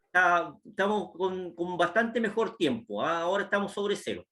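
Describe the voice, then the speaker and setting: A man's voice: high voice, expressive, normal speed, sharp tone. A man calling in to a class to ask a question, talking in a friendly, chatty way. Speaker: caller.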